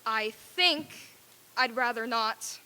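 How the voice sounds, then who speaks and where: Woman playing a shrill crotchety old miser, echoey hall. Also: slow, bright, forceful tone, clean tone, full character voice. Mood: nervous. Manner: blustering, theatrical. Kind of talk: old-time radio stage reading. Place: theater stage. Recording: echoey hall, faint noise.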